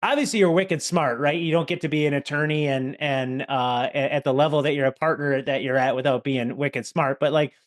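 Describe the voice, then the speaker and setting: A man's voice: a little high, fairly even intonation, fairly quick, hardly any pauses. A man hosting a podcast interview, friendly and affirming, asking long rambling questions. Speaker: podcast host. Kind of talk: casual interview conversation.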